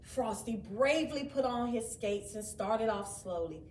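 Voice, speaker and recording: A woman reading a children's story aloud in an expressive, dramatic way, with asides to her young listeners. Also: on the high side, unhurried. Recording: roomy, distant mic, faint background noise.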